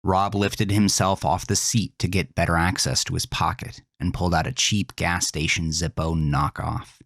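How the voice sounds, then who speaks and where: A man narrating a horror story with slow, intense delivery. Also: low-pitched, flat delivery, vocal fry, a bit bright, no room sound, clean studio sound.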